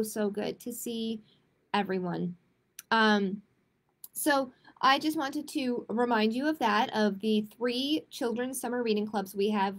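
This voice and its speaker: A woman hosting a children's storytime, reading picture books aloud and chatting to families in an expressive way. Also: mid-range voice. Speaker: children's librarian, storytime host. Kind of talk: picture book read-aloud and chatty announcements to young families.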